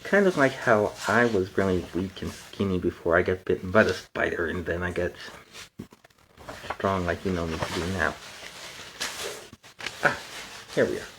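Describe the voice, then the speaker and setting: A man's voice: low voice, normal speed, long pauses, noisy background. A man in a superhero persona reading a children's storybook aloud, with chatty, playful asides between the passages.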